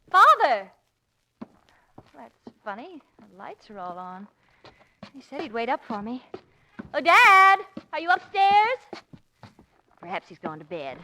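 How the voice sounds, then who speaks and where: A woman's voice: very high voice, bright, forceful tone, heavily acted. A young woman playing an anxious daughter in peril, in 1940s radio acting style. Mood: calling out. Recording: noisy old 1940s radio recording.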